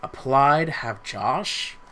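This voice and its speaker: A man's voice: mid-range voice, takes it slowly, a bit bright. A young man casually recapping his notes on a podcast. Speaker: podcast co-speaker.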